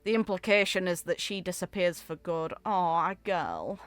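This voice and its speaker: Expressive woman commentator, dry close sound. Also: lowish voice, moderate pace, no room sound, soft background music. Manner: expressive fan talk, informal interjections. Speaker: gaming video creator. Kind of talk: casual reaction commentary, with dramatic reading aloud.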